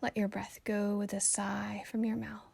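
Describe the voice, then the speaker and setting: A woman guiding a meditation in a calm, soothing, gently instructive way, with soft phrases that trail toward a whisper. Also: mid-range voice, normal speed, gravelly, breathy edge, no room sound. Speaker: meditation guide. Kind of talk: slow guided meditation narration.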